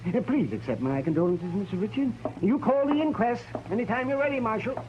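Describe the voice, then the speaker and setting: A fussy frontier doctor, with a high, very animated voice. He rambles in a hesitant, folksy, matter-of-fact way. It is an old radio western with faint noise. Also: a little fast. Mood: flustered, apologetic. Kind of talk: 1950s radio drama acting. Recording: muffled, faintly noisy vintage radio recording.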